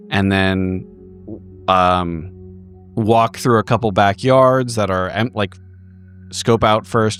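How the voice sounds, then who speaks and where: A man casually improvising his character's actions as a player in a tabletop roleplaying game, swearing now and then. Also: fairly low pitch. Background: background music.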